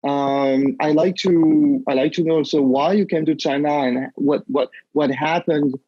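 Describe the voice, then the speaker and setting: Male podcast host, fairly high voice, hesitant, lots of ums, muffled dry recording. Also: fairly even intonation, no echo. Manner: halting, many fillers and restarts. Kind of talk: interview questions. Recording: dull dry lo-fi sound.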